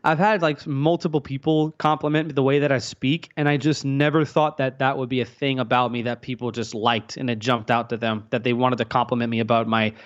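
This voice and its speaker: A man's voice: mid-range voice, level tone, a little fast, hardly any pauses. A man as a guest on a casual livestream, rambling and joking crudely. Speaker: podcast guest. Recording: dull lo-fi audio.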